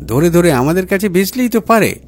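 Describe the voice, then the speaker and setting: A man's voice: high voice, sing-song, fairly quick, slight background noise, pitched above the performer's own voice. A man voicing a jovial, open-hearted businessman husband in an audio story, exclaiming with animation. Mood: amazed, enthusiastic. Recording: music bed under the voice.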